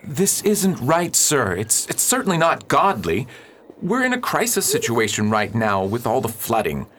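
An actor playing an upright, earnest preacher who pleads and reasons with others in a radio drama. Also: male voice, medium pitch, very animated, normal speed, crowd noise, close to an everyday voice. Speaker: reverend at a mission.

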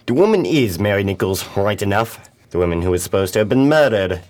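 A deferential police inspector with a fairly low voice, played by a man in a period radio mystery. He talks plainly and colloquially, very matter-of-fact. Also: animated, brisk, a little mellow, lightly acted. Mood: puzzled, matter-of-fact. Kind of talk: period detective radio drama acting.

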